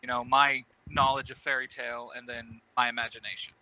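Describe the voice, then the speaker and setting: A man speaking as a podcast guest in casual interview chat, measured, with pauses between phrases. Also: mid-range voice, level tone, sharp tone, poor audio. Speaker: podcast guest, audio drama creator.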